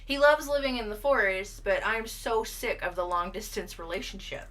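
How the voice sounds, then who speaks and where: Woman playing a bright-voiced earnest lovestruck eyewitness. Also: medium pitch, normal speed, lightly acted. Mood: matter-of-fact, complaining. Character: earnest lovestruck former pot farmer woman telling Bigfoot romance. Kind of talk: mock news eyewitness testimony.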